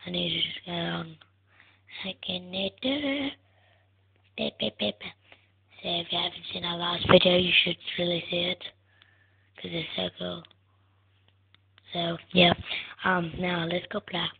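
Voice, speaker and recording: A soft-spoken young woman hosting a casual gaming video, answering a guessing game in short words. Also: on the low side, steady tone, unhurried, gravelly, long pauses, slight background noise. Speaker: gaming channel host. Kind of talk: casual gameplay commentary. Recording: tinny lo-fi phone-like recording.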